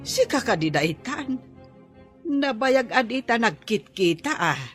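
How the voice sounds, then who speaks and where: A woman's voice: on the high side, very animated, unhurried, a bit bright, soft background music. A woman with a Filipino accent playing a bitter, unforgiving older mother in a radio drama. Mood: bitter, scolding.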